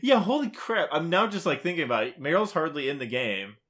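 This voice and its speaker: Male podcast co-host, bright and forward voice, wry and informal. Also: on the high side, animated, brisk. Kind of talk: casual chat about video games.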